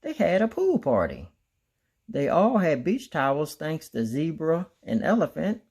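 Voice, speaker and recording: Very animated man reading a storybook. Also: a little high, a little slow, slightly rough. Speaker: storybook reader. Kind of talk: animated children's read-aloud narration.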